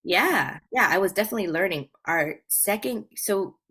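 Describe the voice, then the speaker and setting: A woman's voice: mid-range voice, animated, normal speed, sharp tone, husky. An American woman in her thirties from Hawaii, telling stories casually as an interview guest in an informal, rambling, self-effacing way. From Hawaii, United States. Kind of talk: casual conversational storytelling.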